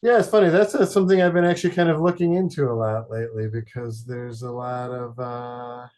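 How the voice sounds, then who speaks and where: Rambling man from Detroit. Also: mid-range voice. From Detroit, Michigan. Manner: rambling, halting, lots of fillers and deadpan jokes. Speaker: podcast guest. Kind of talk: casual chat.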